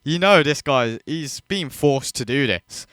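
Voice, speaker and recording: A man's voice: medium pitch, expressive, measured pace, a bit bright, no room sound, clean studio sound. A man hosting a reaction video, ranting in disbelief and swearing freely as he mocks what he watches. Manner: incredulous, profane, mocking.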